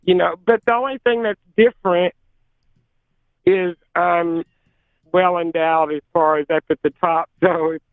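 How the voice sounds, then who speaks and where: A woman on a call, talking emphatically in casual chat and often repeating herself, with a lower-register voice. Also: steady tone, unhurried, no echo. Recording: tinny phone line, dry.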